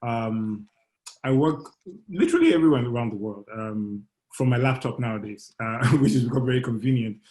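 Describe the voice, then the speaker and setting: A man's voice: medium pitch, animated, a little fast, darkish tone, poor audio. A man, a lawyer and entrepreneur, speaking casually as a panel guest over a video call, joking and stumbling over his first words.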